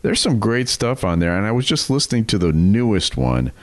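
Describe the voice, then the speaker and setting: A man's voice: on the low side, sing-song, brisk, dry recording. A man co-hosting a podcast in casual comic banter. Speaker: podcast co-host.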